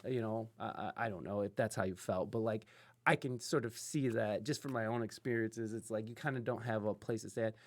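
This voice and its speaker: A man's voice: lowish voice, steady tone, brisk. Man, podcast host, from Ohio, rambling, many restarts, fillers, middle-aged. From Ohio, USA. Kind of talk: casual interview chat.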